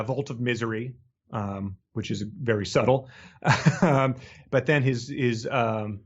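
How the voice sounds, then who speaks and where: A man's voice: mid-range voice, highs cut off. A man co-hosting a casual book discussion, joking wryly as he comments. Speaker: podcast co-host.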